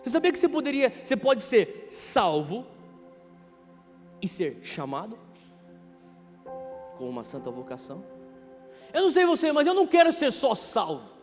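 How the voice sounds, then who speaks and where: High-pitched Brazilian preacher man in a hall. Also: wildly swooping pitch, moderate pace, pauses often. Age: 30s. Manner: emphatic, repeating key phrases, swinging from hushed to loud. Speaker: pastor preaching. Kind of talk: impassioned sermon. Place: large reverberant church hall. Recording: reverberant hall PA, music underneath. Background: music.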